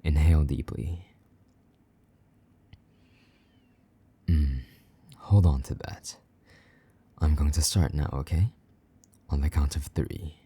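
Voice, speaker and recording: A man playing a possessive, condescending vampire knight in an intimate roleplay, taunting and commanding in a husky, breathy half-whisper. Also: rumbling low, fairly even intonation, unhurried, pauses often, warm tone, exaggerated voice. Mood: domineering. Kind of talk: intimate roleplay audio drama.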